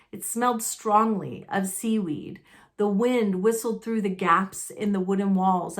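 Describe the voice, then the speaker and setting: Slow-paced woman reading a story aloud. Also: medium pitch, a bit bright. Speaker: storybook narrator. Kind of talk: expressive read-aloud narration of a children's novel.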